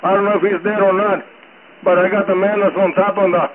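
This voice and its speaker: Distressed high-pitched man on marine radio. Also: fairly even intonation, over a noisy crowd. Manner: halting, repetitive. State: agitated, distressed. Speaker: fishing boat captain calling mayday. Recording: phone-like radio transmission, busy background voices.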